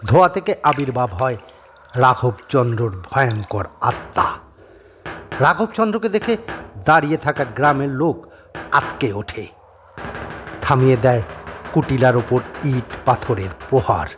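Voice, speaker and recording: A man narrating a scripted horror story dramatically, with suspenseful pauses, over atmospheric ambience. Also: medium pitch, animated, fairly bright tone. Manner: suspenseful, theatrical phrasing with pauses. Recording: faint background noise and ambience.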